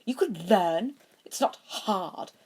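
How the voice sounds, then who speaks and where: A woman's voice: mid-range voice, lively intonation, slow, darkish tone, breathy edge, rough recording. A woman voicing a snide, haughty schoolboy wizard who complains with snooty emphasis, in a storybook reading. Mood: dismissive.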